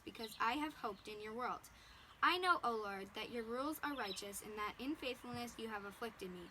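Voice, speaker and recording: A woman's voice: a little high, bright, forceful tone, slight background noise. A woman reading a passage of scripture aloud. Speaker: reader.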